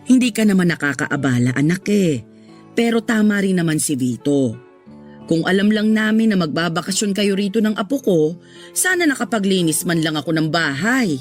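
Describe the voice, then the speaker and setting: A woman's voice: on the low side, expressive, moderate pace, faint music behind, lightly acted. An actress playing a stern but caring middle-aged mother and grandmother in a Tagalog radio drama, bossy and scolding. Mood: gentle, caring.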